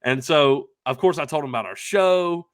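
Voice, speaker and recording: A young man co-hosting a podcast, telling a casual anecdote in a rambling, emphatic, excitable way. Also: fairly high pitch, normal speed, no room sound, studio quality. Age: young adult. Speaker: podcast co-host telling a story.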